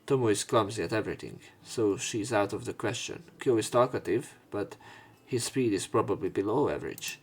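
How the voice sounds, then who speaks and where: A man's voice: on the low side, lively intonation, fairly quick, hardly any pauses, slightly rough. A man doing a let's play, reading a visual novel's narration and dialogue aloud with informal, sometimes sweary asides. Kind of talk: narrated reading with offhand commentary.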